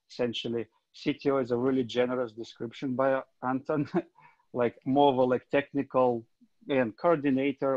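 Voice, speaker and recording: A man's voice: mid-range voice, no echo. A man from Ukraine introducing himself casually on a video call, loose and full of fillers. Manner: loose, filler-heavy, self-deprecating. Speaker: tech coordinator. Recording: dull dry call audio.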